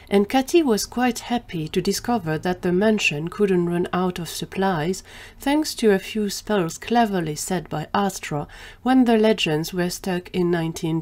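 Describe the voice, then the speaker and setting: A woman's voice: on the low side, unhurried, darkish tone. A woman narrating a scripted audio drama story as the storyteller.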